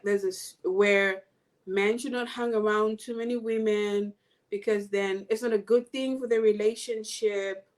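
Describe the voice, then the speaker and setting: A woman from Zambia, a guest in a casual interview, talking in a relaxed, reflective way and repeating words as she thinks. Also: medium pitch.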